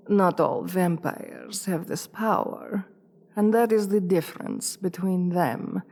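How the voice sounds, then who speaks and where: Woman playing a slow, imperious vampire queen. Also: fairly low pitch, gravelly, darkish tone, a little hiss or hum, heavily acted. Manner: commanding, teasing, condescending, deliberate drawn-out delivery. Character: imperious, seductive vampire queen toying with a human captive. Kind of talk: ASMR roleplay acting. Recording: long reverb with music behind.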